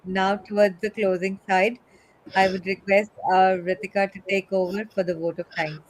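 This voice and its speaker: A woman's voice: mid-range voice, flat delivery, moderate pace, slight background noise, poor audio. Woman host giving closing thanks. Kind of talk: closing remarks at a webinar. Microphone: webinar video call.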